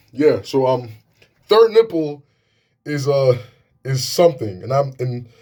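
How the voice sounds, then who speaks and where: Young male vlogger, deep, slightly slurred delivery, loose and frank, swears freely. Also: darkish tone. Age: young adult. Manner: rambling, frank, profane, many restarts. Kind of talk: casual talk to camera.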